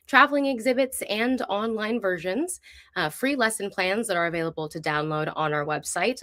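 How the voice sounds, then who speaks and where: An enthusiastic woman hosts a live online program. She presents and asks questions with an upbeat manner, in a mid-pitched voice. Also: fairly bright tone. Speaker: host. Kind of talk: friendly live online show presenting and interviewing.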